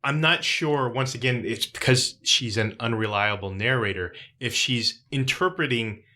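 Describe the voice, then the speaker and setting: A man's voice: mid-range voice, normal speed, bright, forceful tone. A man hosting a casual book club discussion, talking through a story thoughtfully. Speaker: podcast host.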